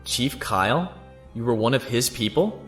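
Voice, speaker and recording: A man's voice: mid-range voice, expressive, moderate pace, fairly bright tone, soft background music, natural voice. A man acting in an audio drama as a captive lieutenant, guarded, sardonic and defiant. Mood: guarded, probing.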